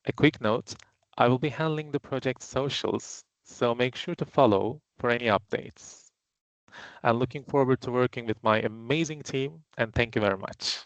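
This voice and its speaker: A man's voice: mid-range voice, moderate pace, dry recording, highs cut off. A man, a project manager, giving a friendly, upbeat self-introduction at an online launch.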